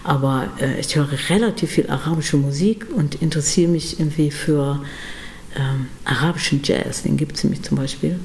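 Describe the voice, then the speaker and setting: A middle-aged woman musician being interviewed, talking thoughtfully with many pauses and fillers. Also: low voice, darkish tone, a little hiss or hum. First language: German. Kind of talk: casual interview conversation.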